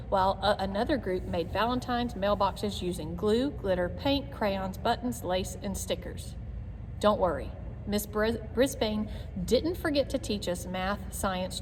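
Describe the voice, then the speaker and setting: A woman reading a children's chapter book aloud in an expressive, clearly phrased narration style. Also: mid-range voice. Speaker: read-aloud reader. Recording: long echoey reverb, background noise.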